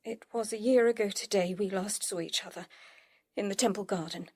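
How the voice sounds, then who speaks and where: Breathy, grieving young daughter. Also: female voice, medium pitch, a little fast, darkish tone. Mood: earnest, defensive. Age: young adult. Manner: breathy, earnest, fretful. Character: grieving, devoted young daughter pining for a lost lover. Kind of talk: period audio drama acting.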